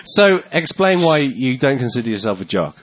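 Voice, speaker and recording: Lively man bantering on a podcast. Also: medium pitch, moderate pace, dry recording. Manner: laughing, teasing, swearing. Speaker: podcast co-host. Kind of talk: casual banter.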